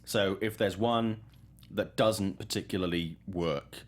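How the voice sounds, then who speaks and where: A man's voice: sits low, measured pace. A man hosting a film news show in a casual, chatty and enthusiastic way.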